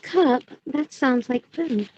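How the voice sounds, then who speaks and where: Woman playing a high-voiced sweet teenage witch, dull mic. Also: expressive, slow pace, background noise. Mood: helpful. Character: kind, sweet, principled teenage witch girl. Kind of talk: fan audio drama acting.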